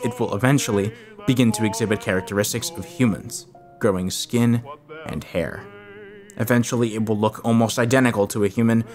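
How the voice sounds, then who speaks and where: A low male voice delivers a scripted horror explainer. He speaks in a measured, expository manner, setting out each point as a narrator would. Music plays faintly behind him. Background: background music.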